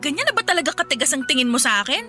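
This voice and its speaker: An actress with a Filipino accent playing a frank, caring but exasperated sister in an emotional radio drama. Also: female voice, high voice, very animated, racing, pushed and bright, soft background music, exaggerated voice. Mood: hurt. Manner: blunt, scolding, earnest.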